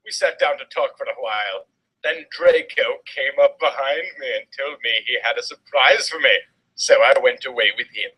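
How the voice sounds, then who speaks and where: Bright, theatrical man reading dramatically. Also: mid-range voice, moderate pace, slightly rough, rough recording. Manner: theatrical, exaggerated emphasis. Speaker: reader of fan fiction. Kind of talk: dramatic comedic story reading.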